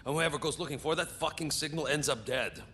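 An actor playing a gruff, cynical veteran fighter in a video game, grumbling with a sardonic, dismissive manner. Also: male voice, fairly high pitch, quick pace, pushed and bright, slight background noise. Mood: grim, dismissive. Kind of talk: video game cutscene acting.

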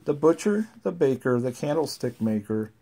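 A parent with a relaxed, sing-song way of reading nursery rhymes aloud and chatting with a small child at home. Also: mid-range voice, normal speed, creaky, very noisy.

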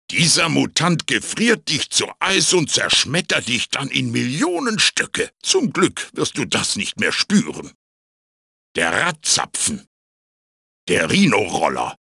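A male actor voicing a wise, quirky wooden mask spirit in a video game, raspy, theatrical and wry as he guides the listener. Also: mid-range voice, bright, forceful tone, no echo, clean studio sound, heavily acted.

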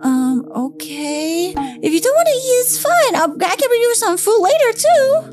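Big sister for game horror roleplay: very high, animated girlish voice acted by a woman, worried, music behind. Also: moderate pace. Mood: casual, concerned.

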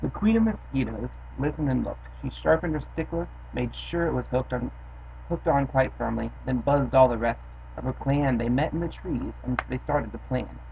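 A man's voice: medium pitch, normal speed, creaky. A young man who hosts a storytime channel, chatting casually and then reading a rhyming children's poem aloud in his bedroom. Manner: casual, offhand chat with fillers, then steady rhythmic reading. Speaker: host reading children's poems. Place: bedroom, indoors. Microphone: built-in webcam mic. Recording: dull lo-fi webcam sound with faint hiss.

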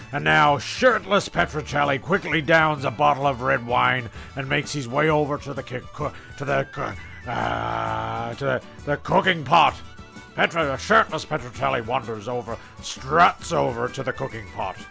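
A man's voice: mid-range voice, moderate pace, vocal fry, bright, forceful tone, soft background music. A man hosting a comedy sketch, mixing stumbling improvised narration with laid-back, matey banter.

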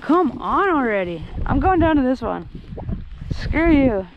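Young guy fishing on the ice, high-pitched and hyped, slow drawl, windy outdoor cam audio. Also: phone-quality sound. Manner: excitable, slangy, talks to the fish. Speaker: fishing vlogger. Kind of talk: excited running commentary while fishing. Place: outdoors on a frozen lake. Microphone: head-mounted action camera. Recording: outdoor wind and ice noise.

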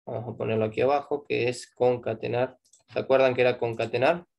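A man's voice: medium pitch, steady tone, brisk, gravelly, darkish tone, dull audio. A man with an Argentine Spanish accent teaching a live online programming class, explaining step by step and checking in with "¿sí?" after each point.

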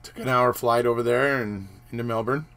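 A slightly hoarse man in his forties, a channel host, rambling casually through a trip recap with many fillers, filmed on a webcam in his home office. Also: mid-range voice, steady tone, fairly quick, a bit bright. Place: home office room with posters.

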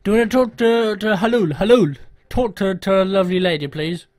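A man making a prank phone enquiry in a hesitant, halting, polite way. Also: high-pitched, steady tone, a little mellow, no echo, studio quality. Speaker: prank caller.

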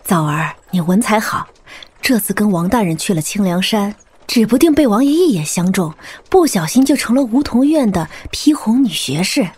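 A husky, flattering weaver woman. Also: mid-range voice, moderate pace. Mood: teasing flattery. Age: 30s. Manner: flattering, chummy. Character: ingratiating older female weaver in her thirties. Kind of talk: Chinese audio drama acting.

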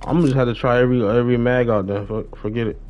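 A man's voice: medium pitch, fairly even intonation, racing. A young American man chatting casually and slangily on a gaming livestream, often muttering to himself. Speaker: live streamer. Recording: dull lo-fi streaming mic.

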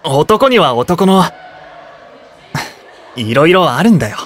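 Animated, playful teenage schoolboy. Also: male voice, fairly high pitch, slow pace, exaggerated voice. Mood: subdued. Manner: playful, casual boyish speech. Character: lively, easygoing, teasing teenage schoolboy gamer. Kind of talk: anime-style voice drama acting.

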